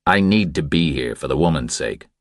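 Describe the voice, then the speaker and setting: A man voicing a calm, tough drifter hero in an audiobook, laconic, steady and stubbornly protective. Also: very deep voice, normal speed, no room sound, studio quality. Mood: insistent, protective.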